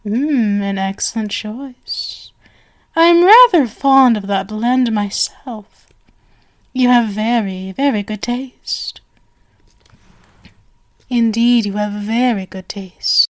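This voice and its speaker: Female stage magician, played by a woman, ASMR roleplay. Genial surface, sinister underneath; theatrical, unhurried, higher-pitched, whisper-prone. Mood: attentive, flattering. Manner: theatrical, flowery, coaxing, drifting into whispers.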